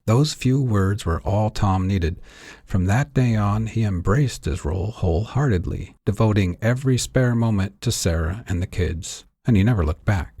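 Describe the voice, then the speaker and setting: A man with a husky voice reading his own fiction aloud as a scripted audiobook narration. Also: lowish voice, normal speed, mellow, no echo, studio quality.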